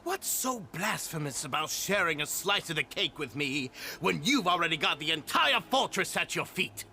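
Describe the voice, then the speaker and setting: An actor playing a cruel, self-righteous prison gang boss in a video game, ranting in a theatrical, menacing and grandiose way. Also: male voice, a little high, big pitch swings, normal speed, pushed and bright, slight background noise, full character voice. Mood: cold, aggrieved.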